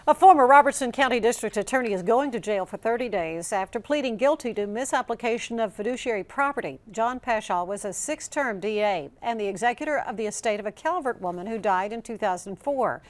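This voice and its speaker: A woman's voice: fairly high pitch, lively intonation, brisk, hardly any pauses. A woman reading scripted television news as an anchor in a studio.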